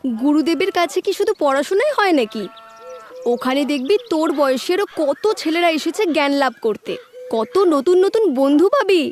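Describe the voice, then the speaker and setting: A woman playing an affectionate mother who gently scolds and coaxes her young son, with music behind. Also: high voice, expressive, normal speed. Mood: coaxing. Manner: fond teasing reproach.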